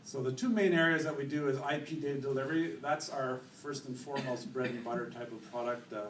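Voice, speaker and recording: A middle-aged Canadian man giving a company presentation at a conference, relaxed and full of fillers. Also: medium pitch, a little fast. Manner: relaxed, many fillers, self-corrects. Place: conference hall. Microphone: room mic, later handheld. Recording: echoey hall from far off, faint noise.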